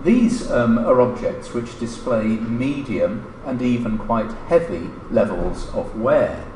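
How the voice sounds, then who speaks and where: A man reading a formal academic conference paper aloud in a measured, scholarly way, pausing mid-phrase. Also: mid-range voice, lively intonation, darkish tone. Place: indoor lecture hall, at a lectern. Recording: echoey lecture room, distant mic, background noise.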